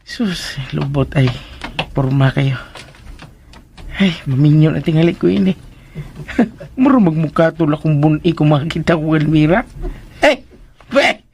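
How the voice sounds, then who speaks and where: An actor playing a jittery, lovestruck comic household helper in a radio drama, stammering and exclaiming. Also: male voice, fairly high pitch, expressive, unhurried, long pauses, darkish tone, slight background noise. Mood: giddy.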